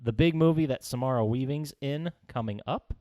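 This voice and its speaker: A man's voice: mid-range voice, moderate pace. A man co-hosting a podcast in casual chat, joking, restarting his sentences and drifting off mid-thought. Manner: animated, joking, trails off and restarts. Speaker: podcast co-host. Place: home studio, at a computer.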